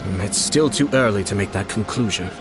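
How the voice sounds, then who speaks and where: An actor playing a calm, shrewd young male clan head in a game, speaking in a measured, persuasive way. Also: on the low side, over music, close to an everyday voice.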